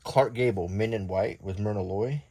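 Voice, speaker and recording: Male host, lowish voice, browsing print aloud with offhand commentary, very dry intimate sound. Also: normal speed, warm tone, husky, no echo. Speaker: host reading an old newspaper. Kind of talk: unintentional ASMR read-aloud with casual commentary.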